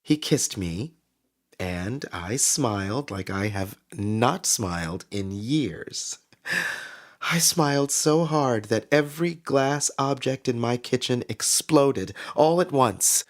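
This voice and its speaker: Eerily cheerful, swooping radio host. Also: male voice, medium pitch, expressive. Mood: dreamy, gleeful. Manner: theatrical, drawn-out delivery with an eerie, smiling cheer. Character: gleeful, unsettlingly cheerful small-town male radio host. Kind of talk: scripted fiction podcast monologue as a community radio broadcast. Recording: music behind the voice.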